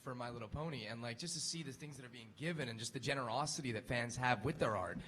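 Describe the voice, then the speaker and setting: Fast, chatty man on a con panel. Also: mid-range voice, sharp tone, slightly rough. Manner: quick, chatty, upbeat. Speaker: voice actor panelist. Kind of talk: convention panel storytelling. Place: convention panel room.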